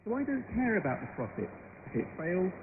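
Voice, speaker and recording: A man's voice: sits high, sing-song, brisk, vocal fry, phone-quality sound, noisy background. A man speaking as an interviewee in a news report, heard over thin shortwave radio. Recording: thin shortwave radio, busy crowd behind.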